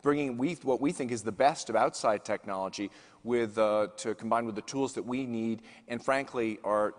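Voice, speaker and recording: A male chief executive answering questions on a conference panel, earnest, often restarting his phrases. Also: fairly low pitch. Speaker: CEO guest speaker. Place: conference stage in a large hall. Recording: long hall reverb.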